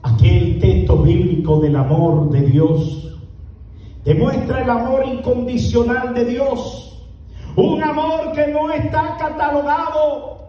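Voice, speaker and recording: A man preaching an impassioned sermon to a congregation, shouting and drawing out words for emphasis. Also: very high voice, a little hiss or hum. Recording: very echoey, from far off.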